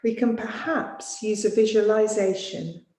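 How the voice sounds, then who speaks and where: A woman teaching yoga nidra, guiding a sleep meditation in a gentle, soothing, unhurried manner with long pauses. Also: mid-range voice, steady tone. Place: small studio. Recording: roomy echo, music behind voice.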